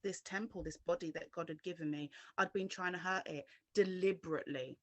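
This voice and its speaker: A woman's voice: lowish voice, fairly even intonation, a little fast, no echo. A woman from London giving her personal testimony as an interview guest, speaking earnestly and directly to the viewer.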